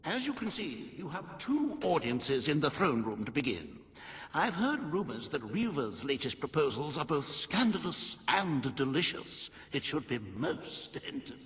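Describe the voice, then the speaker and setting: Genteel royal adviser, highish animated voice, formal, insinuating, game dialogue, reverberant hall. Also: male voice, normal speed, slight background noise. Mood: courteous, sly. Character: courteous, deferential male royal adviser. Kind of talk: scripted video game dialogue.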